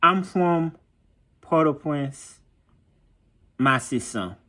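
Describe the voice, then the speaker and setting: A man, telling a personal story informally as a vlogger, halting and reflective as he searches for words. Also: on the high side, expressive, bright, forceful tone. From Haiti. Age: 30s.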